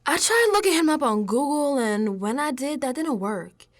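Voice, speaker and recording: A woman's voice: high voice, expressive, normal speed. A woman playing a streetwise, unsettled young American woman in an audio drama monologue, confiding in colloquial, emphatic speech. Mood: puzzled, insistent. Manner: colloquial, sassy, emphatic, dropping into whispers.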